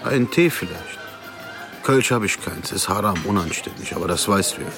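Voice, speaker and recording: An actor playing a crime-family patriarch in a radio drama, measured and paternal with veiled threat. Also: male voice, deep voice, expressive, music in the background. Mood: softly threatening. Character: menacingly calm male crime-family patriarch and moneylender.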